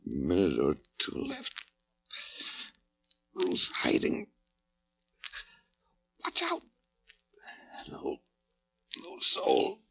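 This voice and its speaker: An actor playing a mortally wounded doctor in a 1940s radio horror drama, gasping out weak, halting fragments and bitter warnings. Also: male voice, sits low, huge pitch swings, gravelly, fairly bright tone, breathy edge, highs cut off, exaggerated voice. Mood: bitter, failing. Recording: noisy, humming old radio recording.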